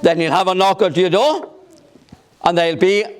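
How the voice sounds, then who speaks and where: A man preaching a sermon in a church through a microphone, speaking loudly and emphatically and asking rhetorical questions. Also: high-pitched, normal speed. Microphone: amplified by a microphone.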